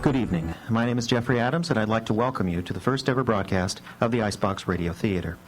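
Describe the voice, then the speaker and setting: A man's voice: lowish voice, animated, quick pace, music in the background, rough recording. A man hosting on radio, giving a formal, scripted welcome address. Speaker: host. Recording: live radio broadcast with background noise.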